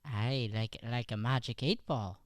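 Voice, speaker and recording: A man's voice: mid-range voice, a little slow, no echo, studio quality, close to an everyday voice. A man playing a curious, eager young Irish lad in an improvised radio play, speaking hesitantly and earnestly with an Irish accent. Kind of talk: improvised old-time radio play acting.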